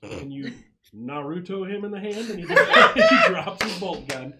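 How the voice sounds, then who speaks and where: A man's voice: high voice, huge pitch swings, moderate pace, very noisy. A man acting as game master in a casual tabletop session, thinking aloud through rules and narrating the action.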